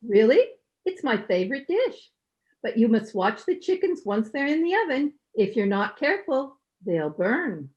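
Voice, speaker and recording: A woman's voice: a little high, sing-song, moderate pace, darkish tone. A woman playing a nosy, haughty older mother-in-law in an old-time radio sitcom, with a fussy, sing-song, condescending manner. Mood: prying, condescending. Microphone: home microphone over video call. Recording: dull, lo-fi internet call recording.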